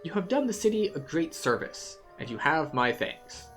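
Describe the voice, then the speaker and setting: An excitable male alchemist from a fantasy game, acted out by a player, speaks in a raised pitch with theatrical formality. He is grateful and exclamatory, with music faintly behind him. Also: normal speed.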